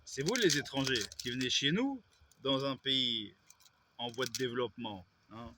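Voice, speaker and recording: A man's voice: medium pitch, animated, normal speed, noisy background. A man, vlogging in a rambling way, with hesitations and self-corrections. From Belgium. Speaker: YouTuber. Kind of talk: casual vlog monologue.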